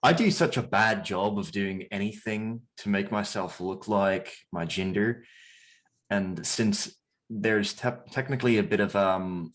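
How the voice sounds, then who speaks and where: A man of twenty-one giving casual interview answers, hesitant and rambling with many restarts. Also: fairly low pitch.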